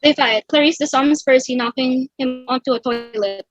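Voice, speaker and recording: High-voiced woman narrating stage directions. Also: moderate pace, highs cut off, soft background music. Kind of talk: scripted narration for a table read.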